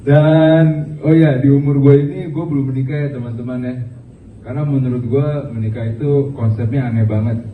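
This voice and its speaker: A man delivers stand-up at a small open mic, halting and filler-heavy, with bits of English mixed in. He sounds distant from the mic in a roomy space. The audio is thin and phone-like, with a faint hiss underneath. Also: mid-range voice, steady tone. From Indonesia. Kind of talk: stand-up comedy.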